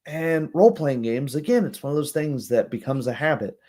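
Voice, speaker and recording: A young man hosting a livestream with casual commentary, speaking in a rambling, halting way and often checking in with tag questions. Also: a little high, mellow. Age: young adult. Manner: rambling, halting asides, frequent "right?" tags. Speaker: livestream host.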